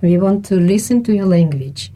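A woman's voice: medium pitch, animated, moderate pace, mellow, a little hiss or hum. A woman, a panel guest speaking earnestly with phrasing. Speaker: panel guest, event organizer.

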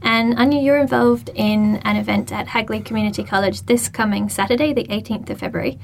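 Gravelly-voiced woman hosting a panel. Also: a little high, fairly even intonation, brisk, a little hiss or hum. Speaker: radio host.

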